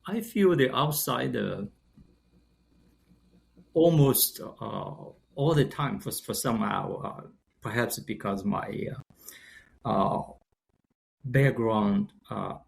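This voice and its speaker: A man in his sixties from China, speaking English with a Chinese accent, as a guest. He talks haltingly, with frequent restarts and fillers as he searches for words. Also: on the low side, gravelly. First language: Shanghainese. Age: 60s. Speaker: guest, company founder. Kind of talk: casual interview talk.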